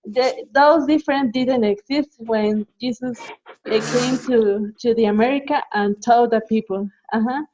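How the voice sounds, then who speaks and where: A woman's voice: fairly high pitch, measured pace, a little mellow, a little hiss or hum. Hesitant woman from Mexico, dull audio. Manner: hesitant, many uh fillers, restarts. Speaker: student in group discussion. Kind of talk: casual discussion.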